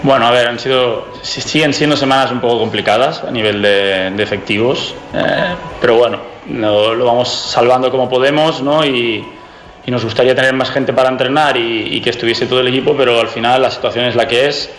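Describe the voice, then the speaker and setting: A man, a basketball coach, answering press conference questions in a measured, slightly hesitant way. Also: mid-range voice, fairly even intonation, a bit bright, slight background noise. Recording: echoey press room.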